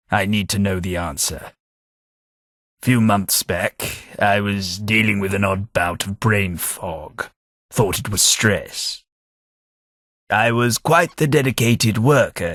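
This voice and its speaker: A man voices a weary, centuries-old ghoul in a video game, British and formal, with a husky, low voice. He speaks slowly and dryly, with a wry edge. The recording is close and dry, with no room sound at all. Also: fairly bright tone, no echo, full character voice. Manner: measured, formal speech with dry wit. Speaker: game character. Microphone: close studio mic.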